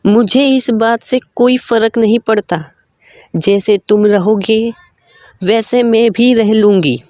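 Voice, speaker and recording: An earnest young village woman from a cartoon moral tale, put on by a narrator in a raised, slow voice. She speaks gently and reassuringly, like someone sincerely in love. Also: medium pitch, fairly even intonation, dry recording, pitched above the performer's own voice, close to an everyday voice. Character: young woman, landlord's daughter, earnest and lovestruck.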